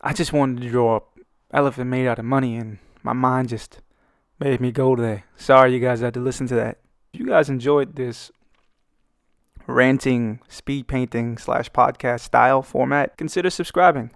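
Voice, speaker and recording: Gravelly Brooklyn guy ranting. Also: medium pitch, steady tone, normal speed, dry recording, clean studio sound. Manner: swaggering, profane, joking. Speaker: artist and channel host. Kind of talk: rambling comedic commentary over a speedpaint.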